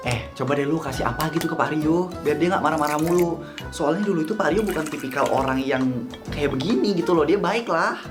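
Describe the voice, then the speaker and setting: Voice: mid-range voice, brisk, lightly acted. A performer playing a friendly, gossipy senior female office colleague with colloquial Jakarta Indonesian, teasing and sympathetic in scripted drama. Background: music and cooking sounds.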